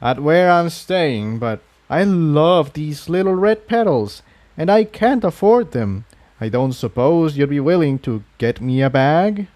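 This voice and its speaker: A man's voice: on the high side, animated, unhurried, a little mellow, dry recording, voice pushed up. Man as a polite, uneasy pegasus stallion. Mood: friendly, sheepish. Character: polite, uneasy male pegasus blacksmith pony.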